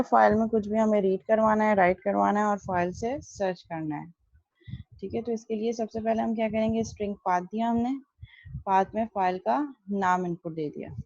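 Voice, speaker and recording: A woman giving an instructional coding lesson in a mid-pitched, gravelly voice. She explains step by step, posing questions and answering them herself. The sound is dry and somewhat muffled. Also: darkish tone, dry recording. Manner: didactic, step-by-step, poses and answers own questions. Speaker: programming teacher. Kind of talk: explanatory online coding lesson, Hindi-Urdu mixed with English terms.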